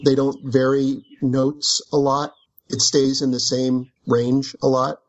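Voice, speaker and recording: A man's voice: medium pitch, completely flat delivery, slow. A man, an author, chatting casually about his book, wandering off on tangents and laughing as he talks. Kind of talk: casual book club chat. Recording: dull lo-fi recording.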